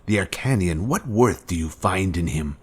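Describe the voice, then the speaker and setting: Really deep voiced evil ex-mentor, slow n solemn, pompous, cold, video game villain. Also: male voice, lively intonation, breathy edge. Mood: cold, certain. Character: menacing fallen Jedi master, grave former mentor, cold and grandiose.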